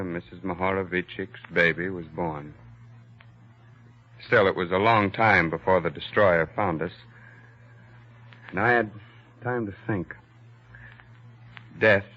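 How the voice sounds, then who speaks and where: An actor playing an earnest, reflective ship's doctor, narrating solemnly and deliberately in a 1940s radio drama. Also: male voice, low-pitched. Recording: muffled vintage 1940s radio recording.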